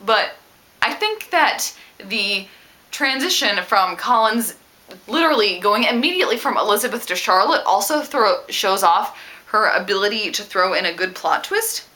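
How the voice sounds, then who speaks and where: A woman talking casually about a book as a vlogger, with an animated, emphatic and opinionated way of speaking. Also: mid-range voice, moderate pace. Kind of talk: casual book discussion to camera.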